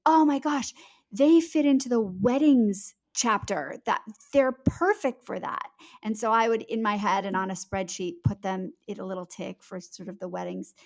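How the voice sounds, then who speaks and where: Female author, podcast guest. Mid-pitched, conversational, emphatic and explanatory, phrasing things deliberately. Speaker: author being interviewed.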